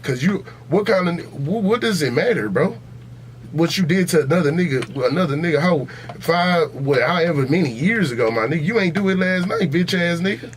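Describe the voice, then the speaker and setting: Podcast co-host: American male, a bit high-pitched, profane and insistent, some background noise. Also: lively intonation, brisk. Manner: blunt, emphatic, repetitive. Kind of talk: casual, profane podcast banter.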